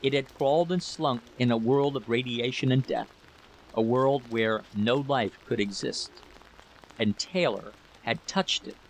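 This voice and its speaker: A man's voice: mid-range voice, unhurried. A man reading a scripted dramatic story as its narrator, on a noisy recording.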